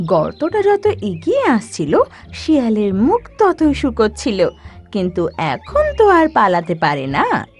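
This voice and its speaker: A woman narrating an animated children's story in an expressive, dramatic storytelling manner. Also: mid-range voice, a little slow, soft background music.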